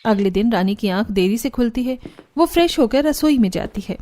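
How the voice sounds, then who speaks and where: A female narrator reads a scripted tale. Her voice is on the high side. Soft music plays beneath her narration. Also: animated, a little fast.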